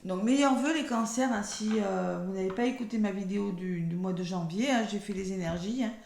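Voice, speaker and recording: A French woman who is losing her voice, speaking softly and hoarsely as she gives a weekly tarot card reading, slowing down when reading cards aloud. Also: medium pitch, roomy sound. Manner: soft-spoken, slow and measured when reading cards aloud.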